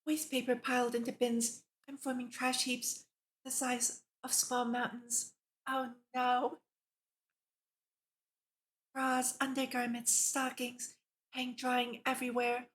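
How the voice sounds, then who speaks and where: A woman livestreamer reading a visual novel aloud and chatting casually, with a giggly, expressive manner. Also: high voice, takes it slowly, low-quality recording. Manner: giggly, expressive, exclaims in reaction to the text. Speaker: VTuber streamer hosting a visual novel read-along.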